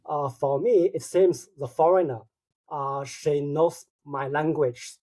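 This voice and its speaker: A man with a Chinese accent, speaking as a guest on a podcast. His voice is mellow and a bit higher than usual for a man. He talks haltingly and often pauses on "uh.". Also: steady tone. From China. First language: Mandarin. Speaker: linguist guest.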